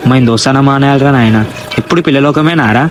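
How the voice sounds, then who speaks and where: Man as an exasperated, quick-talking guy. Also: medium pitch, natural voice. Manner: slangy, complaining. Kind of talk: cartoon comedy skit.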